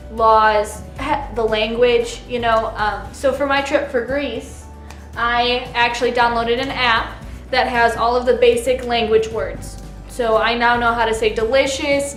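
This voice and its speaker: Chatty higher-voiced woman in an echoey room. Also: fairly bright tone. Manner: chatty, with frequent fillers. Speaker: co-host. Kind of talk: casual advice chat.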